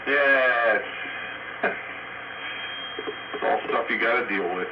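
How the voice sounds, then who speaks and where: A man's voice: medium pitch, drawn-out words. A man chatting casually over two-way radio. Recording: muffled, very noisy two-way radio.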